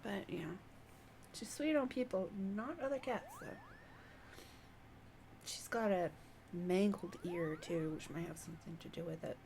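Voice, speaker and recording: A woman's voice: fairly low pitch, measured pace, vocal fry, pauses often, a little mellow, a little hiss or hum. A woman livestreaming a game, chatting casually in a conversational, rambling storytelling way. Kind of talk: casual stream chat, reading game dialogue aloud.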